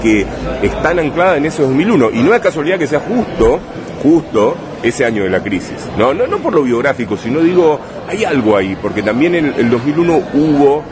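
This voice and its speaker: A man from Rosario, Argentina, with a Rosario accent, an interviewed writer talking in a thoughtful, halting way full of restarts and tag questions. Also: on the high side, very animated. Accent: Rosario Argentine Spanish. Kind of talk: relaxed conversational interview. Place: busy bar with street traffic behind. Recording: noisy crowded bar ambience. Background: crowd chatter and passing vehicles.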